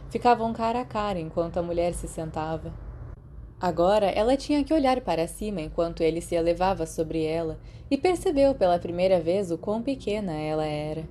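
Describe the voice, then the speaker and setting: A woman's voice: fairly low pitch, dark tone. A woman with a Brazilian accent reading a scripted story as an audiobook narrator. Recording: clearly noisy background.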